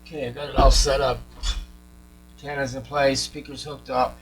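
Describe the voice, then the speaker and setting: A man's voice: medium pitch, level tone, moderate pace, poor audio. A man giving a casual hands-on commentary as he repairs things, muttering and thinking aloud to himself. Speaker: radio repair hobbyist. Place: repair workshop. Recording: clear mains hum and noise.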